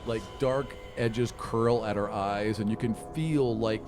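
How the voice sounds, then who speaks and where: A man working as game master, improvising narration in a casual, halting way as he sets the scene. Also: on the low side, over music. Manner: casual, halting, animated storytelling with sound imitations.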